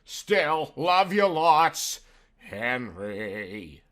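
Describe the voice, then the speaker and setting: A man voicing a smug, callous rich lover in a comic story, with a drawn-out, crooning delivery. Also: medium pitch, sing-song, fairly bright tone, full character voice. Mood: smug, casual. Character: smug, callous rich lover reading his breakup note. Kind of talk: dramatised audiobook reading.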